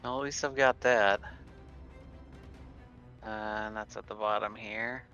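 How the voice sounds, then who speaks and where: A man's voice: lowish voice, fairly even intonation, unhurried, bright, forceful tone. A man chatting casually as a player at a tabletop roleplaying game, on a somewhat lo-fi mic. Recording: dull lo-fi mic sound. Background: background music.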